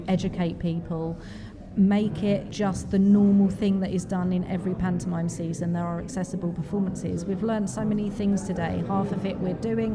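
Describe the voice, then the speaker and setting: A woman producer giving earnest, emphatic interview answers in a conversational way. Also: lowish voice. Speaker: theatre associate producer. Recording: busy crowd chatter behind. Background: crowd chatter at an event.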